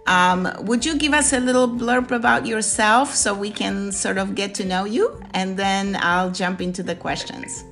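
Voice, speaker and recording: A woman's voice: medium pitch, moderate pace, few pauses, a bit bright, faint music behind. Upbeat woman from Poland hosting a podcast. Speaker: podcast host. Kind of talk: podcast interview.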